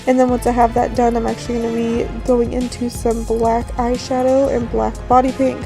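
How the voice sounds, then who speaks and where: Raspy, high-pitched woman narrating a tutorial. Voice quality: raspy, slightly hoarse. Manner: rambling, informal. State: just woke up, morning hoarseness. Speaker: makeup tutorial host. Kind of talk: casual voice-over tutorial narration. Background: background music.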